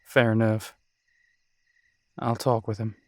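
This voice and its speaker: A man's voice: lowish voice, flat delivery, slow pace, no echo. Guy voicing a chill protective werewolf bro in an audio rp, casual talk, real dry close sound. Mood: easygoing, concerned. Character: easygoing, protective male werewolf, brotherly and amused. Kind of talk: roleplay audio drama, casual dialogue. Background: crickets at night.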